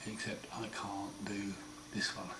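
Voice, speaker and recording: Guy with a croaky sore throat, slow wry video diary, sounds far off in an echoey room. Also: fairly low pitch, completely flat delivery. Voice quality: hoarse, partly breathy. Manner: slow, wry, plain-spoken, often pausing. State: croaky after throat surgery. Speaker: patient sharing his recovery. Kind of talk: casual home video diary. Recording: roomy echo, far mic, noisy.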